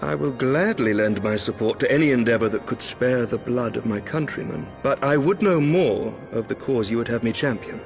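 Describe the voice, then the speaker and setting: An actor playing a noble, gracious lord commander in a fantasy game, speaking courtly archaic English with deliberate authority. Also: male voice, mid-range voice, vocal fry, faint music behind, close to an everyday voice. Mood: gracious, probing.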